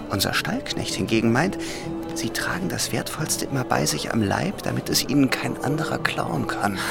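An anxious young man in a radio drama, cautious and loyal, urging restraint. His pitch swings widely and his voice has a creaky edge, with music clearly behind him. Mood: disapproving. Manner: urgent, hesitant warnings. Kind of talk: German radio play acting.

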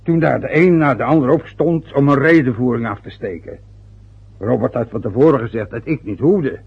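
A man's voice: mid-range voice, normal speed, vocal fry, husky. An actor playing a stubborn, wounded older father who lectures and grumbles with hesitations, in a vintage radio play. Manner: aggrieved, lecturing, rambling with hesitations. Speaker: father of a newly married son. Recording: dull lo-fi old radio recording.

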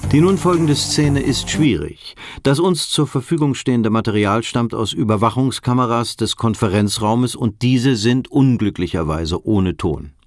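An actor playing a sober documentary narrator in a radio drama, reading scripted reports in a measured, factual manner. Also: male voice, medium pitch, dry recording, close to an everyday voice.

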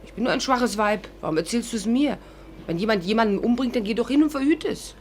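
A woman's voice: a little high, animated, fast, darkish tone, a little hiss or hum, lightly acted. An actress playing a witty, stubborn young woman in a 1950s German radio play, with ironic, theatrical banter. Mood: stubborn, teasing. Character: witty, stubborn young English actress, hat lover, narrating. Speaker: actress narrating and playing the heroine. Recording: 1950s radio recording, music behind.

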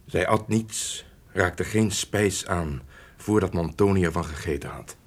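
An actor playing a loyal, honest manservant in a formal radio play, speaking in a grave, sombre and measured manner. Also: male voice, low voice. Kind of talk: Dutch radio play acting, formal narration.

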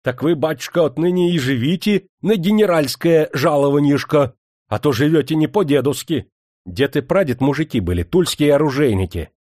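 A man's voice: lowish voice, little pitch movement, unhurried, hardly any pauses, a little mellow, no echo, studio quality. A man voicing a harried, irascible estate steward in a historical audiobook, exasperated, querulous and sarcastic.

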